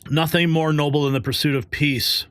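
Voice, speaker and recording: A man's voice: on the high side, steady tone, moderate pace, fairly bright tone, smooth, no room sound. A man hosting a live news show, chatting casually, reading viewer comments aloud and laughing often.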